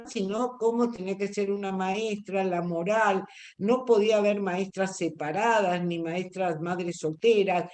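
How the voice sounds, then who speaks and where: A woman's voice: mid-range voice, steady tone, unhurried, over music. A woman teaching an online class, explaining at length and checking that students follow. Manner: explanatory, asks if understood.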